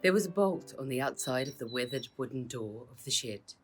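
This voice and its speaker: A woman narrating her own children's storybook in a measured, expressive read-aloud manner. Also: extremely low pitch, music in the background, low-quality recording. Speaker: author reading own book.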